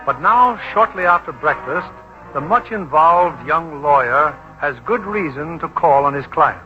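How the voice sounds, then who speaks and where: A man narrates a vintage radio play as its host. His voice sits fairly high, with formal scripted delivery. Faint music plays behind the muffled old broadcast. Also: lively intonation, a little slow.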